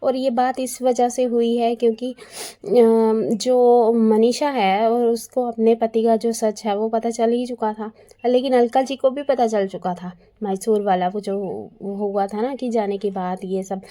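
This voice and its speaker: A woman talks like a video commentator going over gossip. Her voice sits a little high but has a mellow, relaxed tone. She is conversational and opinionated, often repeating phrases to press her point. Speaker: YouTube commentator. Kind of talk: casual gossip commentary, retelling events.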